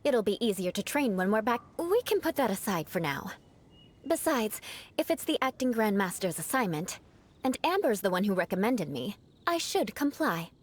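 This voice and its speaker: A woman's voice: mid-range voice, very animated, normal speed. Proud, vengeful young noblewoman knight for an anime game: formal, composed, lilting and theatrical.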